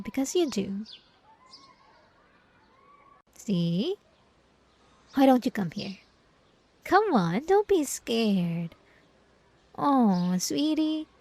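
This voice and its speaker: A woman's voice: fairly high pitch, mellow, exaggerated voice. A woman playing an obsessive, gaslighting ex-girlfriend in an ASMR roleplay, coaxing with condescending, cloying sweetness. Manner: coaxing, cooing, condescending pet names.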